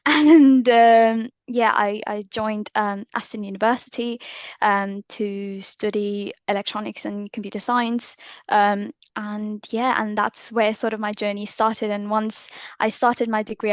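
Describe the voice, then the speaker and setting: A woman's voice: fairly high pitch, no room sound. A woman in her twenties from India, answering interview questions modestly with many ums over a video call. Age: 20s. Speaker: software engineer guest.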